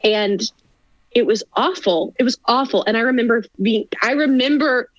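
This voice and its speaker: A young adult woman, a singer and actress, chatting as a podcast guest, animated and laughing, often restarting her sentences. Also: a little high, normal speed, no room sound, lo-fi sound. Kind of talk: casual interview chat.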